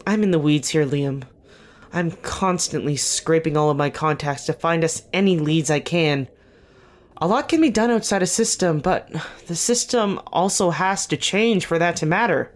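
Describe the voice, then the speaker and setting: An actor playing a weary, guarded veteran team leader in an audio drama, speaking earnestly and haltingly with dry wit. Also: medium pitch, close to an everyday voice. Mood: frustrated, weary. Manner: earnest, halting, self-correcting, dryly wry. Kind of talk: naturalistic audio drama dialogue.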